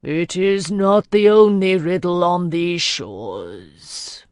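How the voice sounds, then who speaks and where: An ancient, sorrowful lord of the sea, put on by a woman reading a fantasy audiobook. He speaks in slow, grave, booming phrases, low in pitch and unhurried. The recording is close and dry, with no room behind it. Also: animated, a little mellow, clear, resonant tone, no echo. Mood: solemn.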